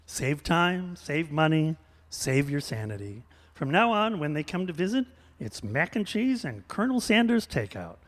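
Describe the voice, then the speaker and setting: Radio-play ad spokesman, male actor: high-pitched, swooping, earnest salesman delivery, hall reverb. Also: very animated, normal speed. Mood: salesy, assured. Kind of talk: scripted parody ad read, live radio theater. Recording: reverberant hall stage recording.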